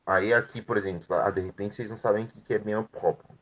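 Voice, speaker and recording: A man's voice: on the low side, steady tone, fast talker, rough recording. A man teaching French to adult students, explaining words patiently and checking in with frequent tag questions. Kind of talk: explaining grammar in an online class.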